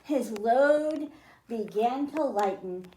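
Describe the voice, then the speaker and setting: High-voiced woman reading slowly to children. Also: animated, warm tone, echoey room, slight background noise, poor audio. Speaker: library storytime host. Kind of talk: children's picture book read-aloud.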